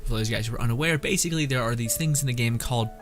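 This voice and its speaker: A man's voice: mid-range voice, racing, soft background music. A man giving casual gameplay commentary as a content creator, rambling and dropping joking asides.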